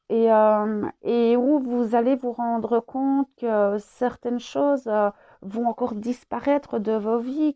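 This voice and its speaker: A woman's voice: a little high, muffled recording. A French woman with a head cold, channeling a spiritual message, hesitant with many fillers and repeated words.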